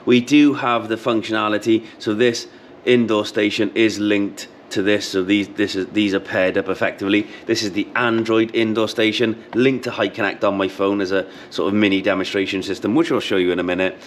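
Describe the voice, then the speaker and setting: A man in his thirties with a fairly low voice walks through a product demo. He explains casually and repeats the key points. There is noticeable background noise in the room. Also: flat delivery, fairly quick. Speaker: product demonstrator. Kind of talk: casual how-to product demonstration. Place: showroom with intercom display wall, indoors. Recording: clearly noisy room background.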